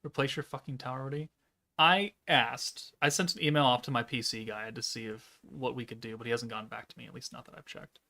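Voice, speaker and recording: Male streamer, 30s, gravelly and deadpan, laid-back monotone chat. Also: medium pitch, a little fast. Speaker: gaming streamer. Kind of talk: casual live-stream chat, reading chat aloud.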